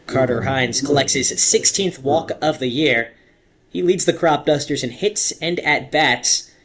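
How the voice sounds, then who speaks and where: A man's voice: fairly high pitch, a bit bright, husky. A young man calling baseball play-by-play in a radio commentary style, stretching big moments dramatically. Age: young adult. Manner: dramatic, drawn-out calls on big plays.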